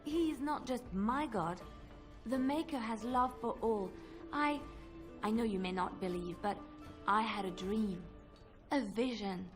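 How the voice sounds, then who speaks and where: An actress playing a devout, gentle young believer in a fantasy game, with an earnest, pleading way of talking. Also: female voice, fairly high pitch, unhurried, music in the background, close to an everyday voice.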